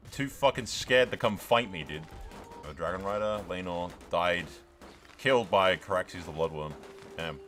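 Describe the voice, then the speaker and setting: A man's voice: low-pitched, fairly bright tone. A man giving casual, sweary game commentary, slipping into mock-grandiose role-play narration. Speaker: gaming video host.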